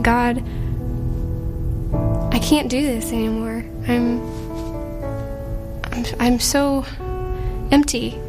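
A woman giving a personal testimony, emotional and halting, repeating words as she recalls. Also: a little high.

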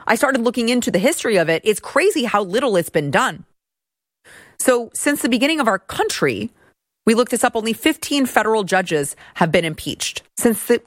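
A woman's voice: on the high side, sing-song, normal speed, no room sound. Woman doing a political opinion show, sarcastic, voice jumps up and down a lot, dry close sound. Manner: sarcastic and mocking. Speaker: political commentary host. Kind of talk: opinion commentary monologue.

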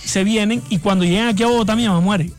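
A man in his thirties speaks with a Barranquilla costeño accent in a notably high voice. He answers radio interview questions slowly and haltingly, restarting and repeating words. A soft music bed plays underneath. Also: fairly even intonation. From Colombia, raised in Barranquilla. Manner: hesitant, repeats words while searching. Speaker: guest singer.

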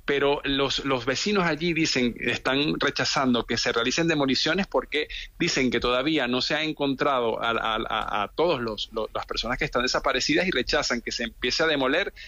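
A man giving a live news report as a correspondent, halting, with many fillers and repeated words. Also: mid-range voice, bright, forceful tone, dry recording, lo-fi sound.

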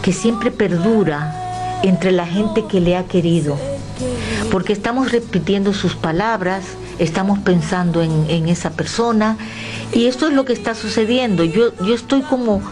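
An older woman from the Dominican Republic, a guest telling animated personal stories and acting out remembered dialogue. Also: medium pitch, moderate pace, music in the background. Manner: lively, acts out remembered dialogue.

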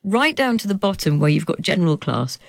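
A woman in her forties, a journalist being interviewed, talking animatedly with laughs and lively swings in pitch. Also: fairly quick, vocal fry, no room sound, studio quality. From United Kingdom. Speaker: journalist and author guest. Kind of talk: conversational interview.

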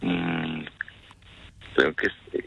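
A man's voice: rumbling low, flat delivery, extremely slow, vocal fry, pushed and bright, husky. A man chatting casually and a little flirtatiously on a phone call, hesitant and often questioning.

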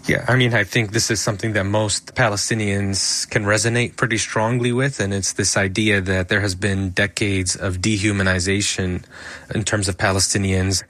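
Lowish, dry-sounding American male interview guest. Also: steady tone, moderate pace, a bit bright, no room sound. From United States. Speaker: interview guest, emergency physician and advocate. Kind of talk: spoken interview answers.

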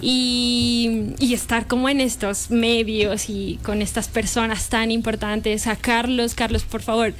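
A young woman chats as a guest in a radio studio. Her fairly high voice stays easygoing and warm, with ready laughter. She is a singer talking about her life. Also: flat delivery, unhurried, hardly any pauses, a little hiss or hum. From Nariño, Colombia. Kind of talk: casual radio interview.

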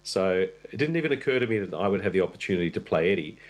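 A man's voice: low voice, expressive, very fast. A middle-aged man who grew up in Russia, an actor and producer chatting candidly as an interview guest, with wry asides. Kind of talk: conversational interview.

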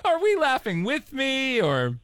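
A man in casual banter, speaking haltingly and repeating his words. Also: extremely high pitch, huge pitch swings, fairly bright tone, clean tone.

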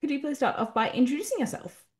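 A woman's voice: high-pitched, expressive, fast talker. A woman hosting an interview show, chatty and encouraging toward her guest. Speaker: podcast host, interviewer.

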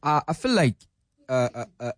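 A South African man speaks at a mid-low pitch in a casual radio chat. He hesitates, fills gaps with "uh" and "you know", and laughs along with the others. The sound is dry and close. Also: very animated, moderate pace, no echo. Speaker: community radio presenter.

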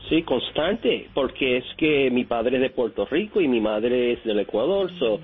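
Husky male caller on a phone line. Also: mid-range voice, expressive. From New York. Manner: halting, many fillers and restarts. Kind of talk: radio call-in story.

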